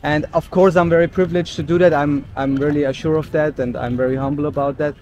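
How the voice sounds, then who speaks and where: A man from Austria, a German native speaker, hosting a casual interview with a friend, quick to affirm with "yeah" and "mm-hmm". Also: on the high side, steady tone, darkish tone. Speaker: travel vlogger host.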